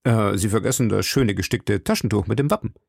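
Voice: mid-range voice, fairly quick, vocal fry, a little mellow, no room sound, studio quality. A narrator playing an ardent, insistent gallant in his late teens, speaking eagerly and formally in an audiobook. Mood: probing. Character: ardent, insistent young gallant man, not yet twenty. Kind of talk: audiobook character dialogue.

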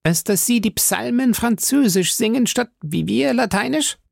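A man voicing a boisterous, hot-headed musketeer with a loud, exclaiming manner in an audiobook story. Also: very high voice, lively intonation, normal speed, vocal fry, no echo, clean studio sound, pitched above the performer's own voice, exaggerated voice. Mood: indignant.

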